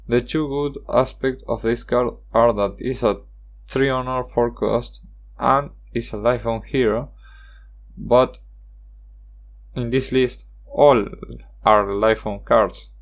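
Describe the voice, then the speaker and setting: A young man from Argentina, a Spanish speaker, hosting a card game review voice-over in careful, halting English. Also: medium pitch. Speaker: channel host.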